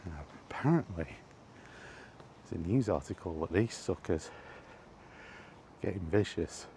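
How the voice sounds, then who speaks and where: A man giving a casual, halting opinion monologue to a vlog audience, rambling along in short phrases while out walking. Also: low-pitched, animated, mellow, a little hiss or hum. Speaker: video blogger. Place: outdoors, walking.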